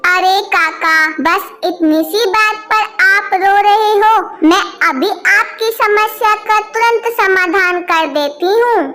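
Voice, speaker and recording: A very high, bright voice from a woman actor plays a kind young girl bird in a children's cartoon. She speaks slowly, sweet and earnest, always ready to help. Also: full character voice. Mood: reassuring. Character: kind, helpful young female bird with magic touch. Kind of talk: children's animated story dialogue.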